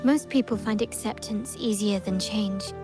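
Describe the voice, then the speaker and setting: An actress playing a composed, commanding, high-ranking woman in a video game, speaking formally with measured, persuasive poise. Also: over music, close to an everyday voice. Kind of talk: scripted video game voice acting.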